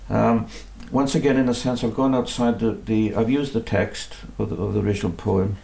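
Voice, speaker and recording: A man's voice: on the low side, steady tone, moderate pace, vocal fry, a little mellow. A man, a composer being interviewed in his music room, talking in a modest, hesitant way with many restarts. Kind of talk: relaxed conversational interview.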